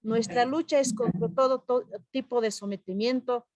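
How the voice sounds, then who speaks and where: A woman's voice: a little high, steady tone, normal speed, a little mellow, no echo. A woman from Bolivia with a Bolivian Spanish accent, a culture ministry representative giving a solemn, declamatory prepared speech over a video call, with a few hesitations.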